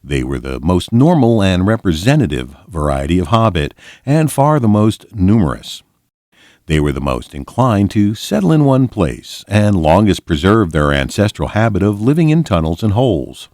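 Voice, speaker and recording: Male storybook narrator, low register, lively melodic phrasing, measured delivery, very dry close sound. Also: dry recording. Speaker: volunteer reader. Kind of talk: storybook read-aloud narration.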